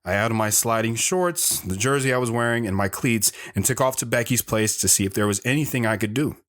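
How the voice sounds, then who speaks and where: A man's voice: mid-range voice, fairly quick, a bit bright. A man narrating his own past as a scripted drama, telling it plainly while looking back.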